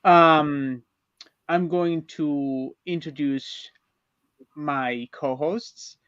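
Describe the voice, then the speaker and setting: A man moderates an online panel in a somewhat high voice. His delivery is halting, and the sound is dry and a bit muffled. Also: steady tone, fairly bright tone, clear, resonant tone, no echo. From Argentina. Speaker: panel host. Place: converted school bus, video call.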